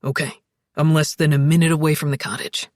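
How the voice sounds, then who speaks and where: A woman voicing a steady, protective man in an audiobook, urgent yet soothing, with firm and direct delivery. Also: deep voice, fairly quick, no room sound, studio quality, pitched below the performer's own voice, close to an everyday voice. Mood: urgent, reassuring. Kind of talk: audiobook character dialogue.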